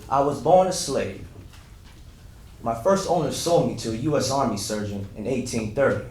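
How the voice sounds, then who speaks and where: A man playing a dignified, wronged, formerly enslaved man, reciting a historical monologue in a deliberate way. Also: mid-range voice, slight background noise, natural voice. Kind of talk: first-person historical monologue, staged dramatic reading. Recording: echoey room, distant from mic.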